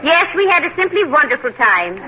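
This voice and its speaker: An actress playing a patient, sensible middle-aged wife in a 1940s radio comedy, gentle and motherly. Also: high-pitched, big pitch swings, fairly quick, lo-fi sound. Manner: gentle, motherly, calls him dearie. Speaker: sitcom co-lead. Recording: old radio broadcast with hiss.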